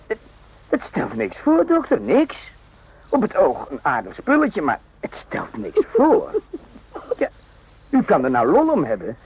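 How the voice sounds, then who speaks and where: An actor playing a naive, randy young soldier in a radio drama, rambling and jokey in an eager, confiding way. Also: male voice, on the high side, darkish tone, breathy edge, heavily acted. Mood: bashful, confiding. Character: chatty, naive, randy young army soldier, former circus hand. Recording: muffled lo-fi old radio recording.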